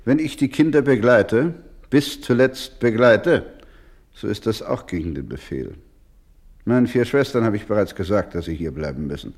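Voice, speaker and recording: A steadfast older doctor in a radio drama, his voice set low. He speaks with solemn, formal care, repeating phrases deliberately, gentle yet immovable. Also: animated. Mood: quiet insistence. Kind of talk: German radio play acting.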